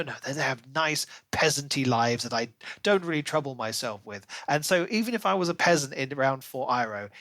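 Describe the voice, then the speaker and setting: A man co-hosting a casual book podcast, giving opinions in an animated, emphatic way with sarcastic asides. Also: medium pitch, dry recording. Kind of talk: casual book review chat.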